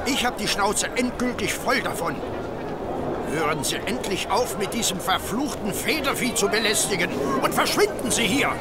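An actor playing a gruff, irritable, stubborn poultry dealer in an audio drama, brusque and combative. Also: male voice, sits high, lively intonation, moderate pace, over a noisy crowd, very noisy. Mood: irritated. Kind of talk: German audio drama acting.

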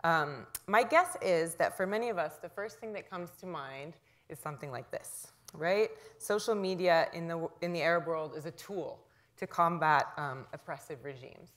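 A woman's voice: lowish voice, moderate pace, few pauses. A woman in her thirties giving a public lecture in a hall, talking to the audience in a conversational way and often checking in with "right?". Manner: self-described loud voice.

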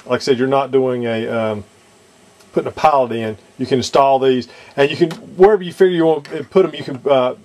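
A man casually showing how to fit a model cockpit kit in a workshop, talking haltingly with many fillers and restarts. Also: medium pitch. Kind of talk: casual hands-on demonstration. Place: workshop, indoors.

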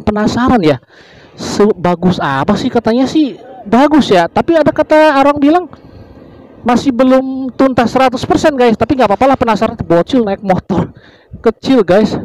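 A man's voice: sits high, a little mellow, poor audio. A man from Indonesia narrating a motorbike ride through village roads in casual, chatty fashion, constantly addressing his viewers and wondering aloud about the way ahead. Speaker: motovlogger.

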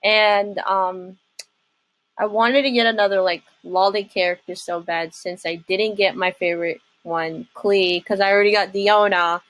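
Young woman, halting casual chat, close dry sound. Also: medium pitch, steady tone, fairly bright tone, no echo, low-quality recording. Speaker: reaction video host. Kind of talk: casual chat reacting to game videos.

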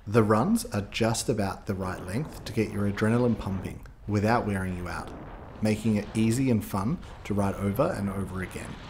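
A man's voice: fairly low pitch, a little mellow, slight background noise. A man giving upbeat scripted voice-over narration, guiding listeners step by step like a ski guide.

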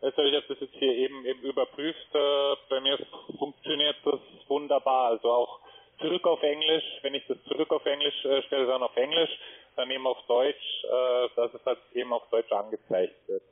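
A man's voice: mid-range voice, fairly even intonation, normal speed. Hesitant call-center man on a phone line. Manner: polite, hesitant, many fillers, repeats back details.

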